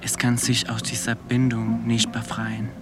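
A man reciting a scripted radio-play monologue in measured, declarative statements. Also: medium pitch, monotone, music in the background, close to an everyday voice.

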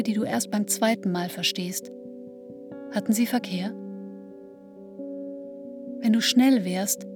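An actress playing an introspective woman in her thirties, narrating a literary radio play in a measured, reflective way. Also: medium pitch, close to an everyday voice. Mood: wry, guarded. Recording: music under the voice. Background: musical instruments.

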